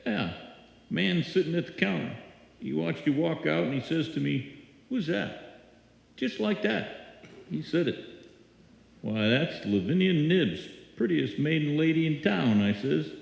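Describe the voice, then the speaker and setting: A man's voice: on the high side, expressive, unhurried, vocal fry, pauses often, heavily acted. A man playing a folksy, apologetic small-town drugstore clerk in a live old-time radio drama, with hesitant, stammering talk. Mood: chatty, admiring. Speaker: amateur radio play actor. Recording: echoey hall, live stage performance.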